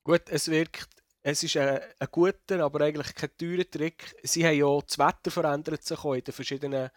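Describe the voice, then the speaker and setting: A man weighs his views on a game in a casual review chat, often stopping and restarting mid-thought. His voice is fairly high for a man, and the sound is dry and close to the mic. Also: fairly even intonation, no room sound. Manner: thoughtful, halting, many restarts. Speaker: video game reviewer, co-host.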